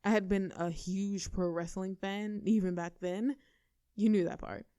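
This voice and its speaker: A woman's voice: medium pitch, steady tone, measured pace, dark tone, no room sound, studio quality, natural voice. A woman playing a cocky, brawling girlfriend. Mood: boastful storytelling. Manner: cocky, casual, swears freely, laughs between lines. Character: tough, brash young woman, a scrappy girlfriend. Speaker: audio roleplay performer. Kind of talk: scripted audio roleplay to a listener.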